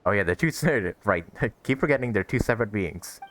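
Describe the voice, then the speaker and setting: A man's voice: on the low side, lively intonation, a little fast, a bit bright. A man hosting a gaming video, giving casual, playful let's play commentary as he reacts aloud to the game. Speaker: gaming video host, streamer. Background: game music.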